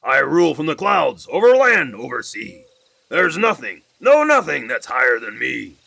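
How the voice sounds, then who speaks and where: A man voicing a pompous, bossy male turtle king who barks orders and boasts loudly. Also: mid-range voice, huge pitch swings, normal speed, gravelly, no room sound, pitched above the performer's own voice, exaggerated voice, low-quality recording. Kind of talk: storybook read-aloud.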